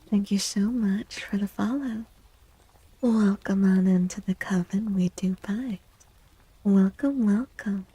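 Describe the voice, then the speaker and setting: A soft-spoken woman streaming ASMR, talking gently in a husky, breathy voice that often drifts into whispers, with playful, quaintly formal wording. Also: mid-range voice, no echo, poor audio. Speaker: live streamer and tarot reader.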